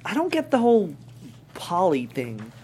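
A woman's voice: fairly low pitch, wildly swooping pitch, normal speed, darkish tone. A woman playing a curious, wry teenage boy in a naturalistic comedy table read. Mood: curious, amused. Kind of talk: naturalistic comedy script reading. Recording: faint background noise.

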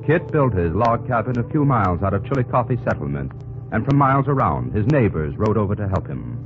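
A man's voice: on the low side, sing-song, brisk. A man giving dramatic, scripted narration for an old-time radio drama. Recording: muffled old radio recording with music.

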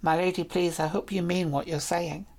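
A woman's voice: on the low side, fairly even intonation, moderate pace, a little mellow, lightly acted. Woman playing a shy lovestruck knight. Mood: earnest, pleading. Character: young male knight, brave but lovestruck, shy.

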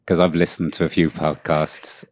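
Male co-host, very low voice, a bit sniffly, wry and self-mocking, dry close recording. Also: little pitch movement, normal speed, a little mellow, no room sound. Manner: dry, self-deprecating humor. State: has a cold. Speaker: podcast co-host. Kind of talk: casual chat. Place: room with co-host far off.

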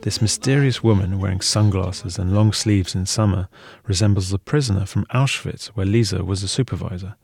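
A man's voice: low voice, a little fast, vocal fry, few pauses, a little mellow. A man co-hosting a story podcast, reading scripted documentary narration. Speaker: podcast co-host.

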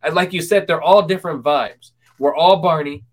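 A man's voice: fairly high pitch, moderate pace. A man in his twenties hosting a casual interview, full of ums and restarts. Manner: hesitant, many ums and restarts. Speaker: podcast host.

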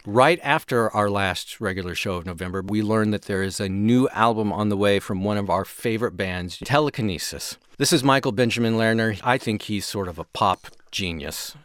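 A man's voice: fairly low pitch, normal speed, few pauses, a bit bright, no echo. A middle-aged man co-hosting a music show in casual, unscripted conversation. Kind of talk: casual unscripted radio chat.

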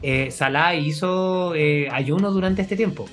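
A man's voice: a little high, unhurried, soft background music. A young Chilean man co-hosting a casual podcast, chatty and full of fillers, often breaking into laughter. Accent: Chilean Spanish. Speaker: podcast co-host. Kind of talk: casual chat.

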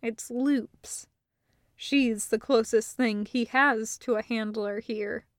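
A woman narrator reading scripted fiction in a measured, understated storytelling manner. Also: a little high, fairly even intonation, dry recording. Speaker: audiobook narrator.

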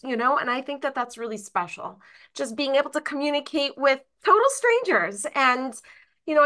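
A woman's voice: on the high side, fairly bright tone. A woman whose first language is French hosts a podcast interview in a chatty way, often trailing off with fillers. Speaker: podcast host. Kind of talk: conversational interview.

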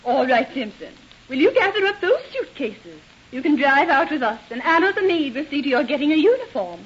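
An actress playing a fussy, imperious middle-aged society matron in a haughty radio-drama style. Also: female voice, high voice, sing-song, a little fast. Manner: haughty, commanding, snobbish. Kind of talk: 1930s radio drama acting. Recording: muffled old radio recording.

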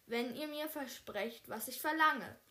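A man's voice: extremely high pitch, unhurried, pushed and bright, pitched above the performer's own voice, full character voice. A man voicing a brave, commanding young female knight in a storybook tale. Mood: proud. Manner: proud, commanding.

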